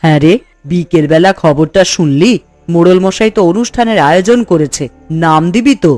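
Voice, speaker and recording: A woman's voice: on the low side, a little fast, darkish tone, dry recording, natural voice. A woman voicing a hopeful village housewife in a cartoon, homely and conversational. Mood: hopeful, practical. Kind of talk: Bengali village cartoon dialogue. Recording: music under the voice.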